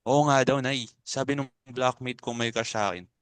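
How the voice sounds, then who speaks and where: Man acting a sensitive college boy, clear voice. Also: mid-range voice, fairly even intonation, moderate pace, a bit bright, no room sound, close to an everyday voice. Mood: puzzled, wounded. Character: naive, sensitive young male college student, bullied son. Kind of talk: dramatic stage reading in Tagalog.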